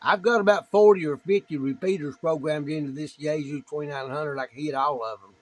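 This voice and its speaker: A man with a slightly high voice makes casual ham radio small talk. He is plainspoken and tends to say things over again as he talks shop with other operators. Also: fairly even intonation, brisk. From United States. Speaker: amateur radio operator, video maker.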